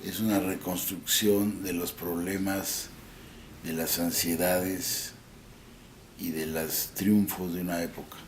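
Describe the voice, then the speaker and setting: A man speaking slowly and deliberately as he explains a historical film. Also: low-pitched, little pitch movement, a little hiss or hum. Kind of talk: commentary on a film. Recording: roomy echo over loud music.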